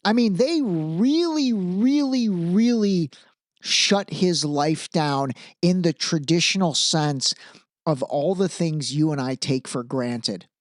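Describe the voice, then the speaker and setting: A man's voice: on the high side, lively intonation, slow, no echo, studio quality. A man hosting a podcast, giving casual, opinionated commentary in an informal, emphatic way, repeating phrases for emphasis. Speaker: podcast host.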